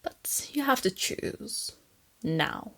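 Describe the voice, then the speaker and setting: A woman voicing a female lamia captor in an intimate ASMR roleplay, soft and often whispery, teasing and coaxing, with condescending sweetness and a quiet menace. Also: medium pitch, sing-song, very slow, creaky, exaggerated voice. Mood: coaxing, bossy.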